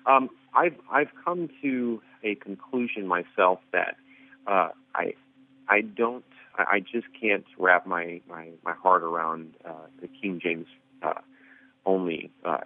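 Male caller, very low voice, halting and hesitant, thin phone-line sound. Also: no room sound. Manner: hesitant, halting, many fillers and restarts. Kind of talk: call-in question.

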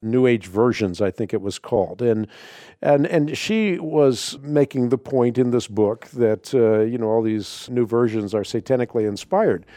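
A middle-aged man whose first language is Dutch hosts a call-in radio show from a dry studio. His voice is mellow and warm, and he answers callers emphatically, stumbling into repeated words as he works through each point. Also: mid-range voice, moderate pace, no echo. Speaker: radio host.

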